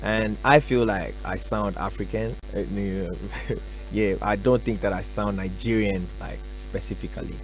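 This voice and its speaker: A young man from Ghana, a musician answering radio interview questions in a relaxed, soft-spoken way with many fillers. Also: on the low side, unhurried, faint music behind, poor audio. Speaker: musician guest. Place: radio studio.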